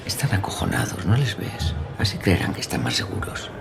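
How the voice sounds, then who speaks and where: An actor with a Castilian Spanish accent playing a cocky, calm, menacing imprisoned killer in a radio drama, smug and falsely courteous. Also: male voice, low-pitched, brisk, vocal fry, noisy background, full character voice. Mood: calm, knowing.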